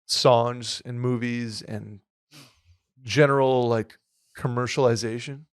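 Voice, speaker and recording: A man co-hosting a podcast in casual chat, with a hoarse, slightly nasal voice and a rambling, upbeat way of talking. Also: medium pitch, level tone, slow pace, no room sound, studio quality. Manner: rambling, filler-heavy, upbeat. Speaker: podcast co-host.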